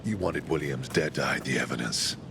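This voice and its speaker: An actor playing a gruff, steady resistance leader in a video game cutscene. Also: male voice, brisk. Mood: taunting, accusing. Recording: noisy rumbling game cutscene audio.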